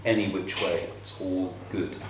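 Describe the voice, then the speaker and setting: A man moderating a panel as facilitator, relaxed and playful. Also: low voice, unhurried. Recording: echoey room, mic far off, clear noise.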